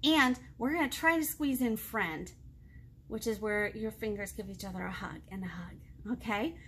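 A woman's voice: medium pitch, moderate pace, fairly bright tone, breathy edge. An American woman in her thirties leading a children's storytime, speaking expressively in simple phrases to young listeners. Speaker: children's librarian hosting storytime. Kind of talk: children's storytime read-aloud and chat. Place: small indoor room, seated before a book display.

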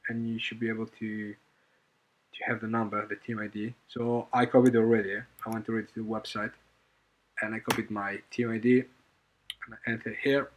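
A man presenting an informal software tutorial in a halting, hesitant way, often repeating phrases as he goes. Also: lowish voice, little pitch movement, a little hiss or hum, poor audio. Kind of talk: informal screen-recorded coding tutorial.

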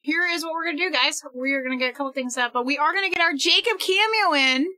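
A young woman live-streaming a game from home, excitable and quick to laugh and shout, and losing her voice. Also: sits high, no echo. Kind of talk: casual live-stream chat while gaming.

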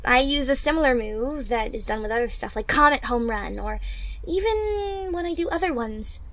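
A woman's voice: high-pitched, expressive, normal speed, a bit bright. A woman playing an upbeat, encouraging teenage girl superhero trainee in an anime fan roleplay, coaching the listener directly in a bubbly manner. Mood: encouraging, explaining. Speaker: audio roleplay performer.

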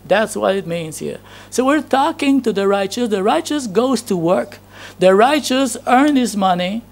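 Man, fifties, gravelly, chatty sermon, handheld mic, church hall. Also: sits high, lively intonation, unhurried. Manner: storytelling, chatty, laughs at himself. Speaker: preacher. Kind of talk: anecdotal sermon. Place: church hall with projection screen. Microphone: handheld microphone.

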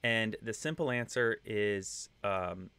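Low-voiced man with a faint Texan accent. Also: takes it slowly, clear, resonant tone, no echo. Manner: relaxed, conversational explaining with fillers. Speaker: web testing instructor. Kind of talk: screen-share coding tutorial.

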